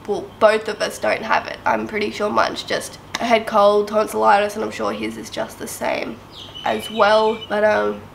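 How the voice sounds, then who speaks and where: A woman's voice: mid-range voice, normal speed, gravelly, slight background noise, poor audio. A young woman vlogging to camera while sick, her voice nasal and blocked up, rambling with lots of fillers. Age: young adult. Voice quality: nasal, congested. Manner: chatty, rambling, many fillers like "um" and "I mean, like". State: sick with a blocked nose and sore throat. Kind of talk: casual to-camera vlog talk. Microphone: camera close up at arm's length.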